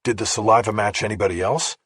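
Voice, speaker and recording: A man's voice: fairly low pitch, steady tone, quick pace, a bit bright, breathy edge, dry recording, clean studio sound. A man voicing a persistent, probing male investigative journalist in an audiobook. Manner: probing, questioning. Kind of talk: audiobook character dialogue.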